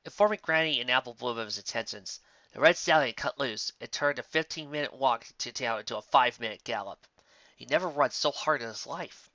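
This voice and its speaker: A man reading fan fiction aloud as an expressive scripted story narrator. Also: medium pitch, a little fast, dry recording, muffled recording.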